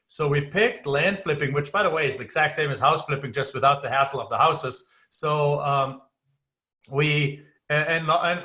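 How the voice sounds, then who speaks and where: A man's voice: fairly high pitch, pushed and bright. A man in his forties from Germany with a German accent, talking as a podcast guest with frequent restarts. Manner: talkative, frequent restarts and fillers. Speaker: guest investor. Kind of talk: casual podcast interview.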